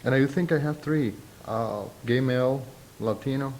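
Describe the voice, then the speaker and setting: A middle-aged man and native Spanish speaker with a thick Puerto Rican accent, giving reflective, halting, deliberate interview answers as a university professor. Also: darkish tone. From Puerto Rico. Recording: noisy, low rumble behind.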